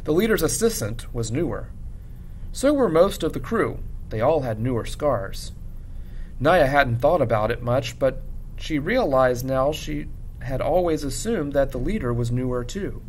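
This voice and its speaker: A man narrates a children's story in a classroom read-aloud style. His voice sits at a middle pitch. A noticeable low rumble runs behind the recording. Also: lively intonation, a little slow, low-quality recording. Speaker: teacher reading a book aloud to students. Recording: clear background rumble noise.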